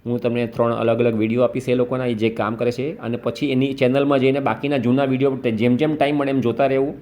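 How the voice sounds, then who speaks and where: A man's voice: medium pitch, flat delivery, quick pace, a little mellow. Man patiently advising a caller. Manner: patient, instructive, explains step by step. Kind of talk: phone call advice.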